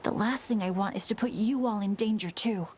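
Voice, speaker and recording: A woman voicing an earnest, selfless teenage boy adventurer in a video game, boyish and halting. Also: medium pitch, background noise, close to an everyday voice. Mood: earnest, resolute. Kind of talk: video game character acting.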